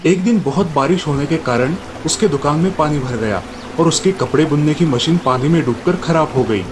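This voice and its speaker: A man's voice: a little high, normal speed, noisy background. A man narrating a scripted moral tale for children as a storyteller.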